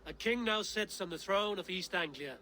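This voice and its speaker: An actor playing a Viking comrade in a video game cutscene, dramatic and declaiming. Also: male voice, sits high, normal speed, pushed and bright. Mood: proud, congratulatory. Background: ambient noise.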